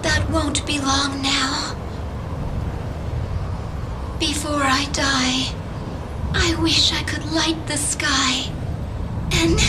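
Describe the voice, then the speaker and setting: An actress playing a brave, sorrowful young Valkyrie princess in a fantasy game, speaking solemnly in theatrical, old-fashioned phrasing. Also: female voice, high-pitched, noisy background. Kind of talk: dramatic fantasy game voice acting. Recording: music behind the voice.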